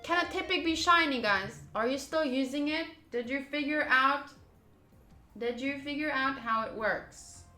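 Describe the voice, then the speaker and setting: Casting: female game streamer, bright mid-pitched voice in an echoey room, narrating on-screen text with informal asides. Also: moderate pace. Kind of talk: reading a visual novel aloud with casual asides to viewers. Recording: roomy echo. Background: game music.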